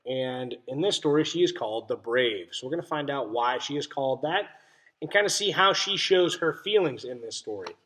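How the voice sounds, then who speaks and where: A man's voice: medium pitch, animated, moderate pace, a bit bright, poor audio. A man teaching young children through a picture book read-aloud, explaining simply and checking in with questions.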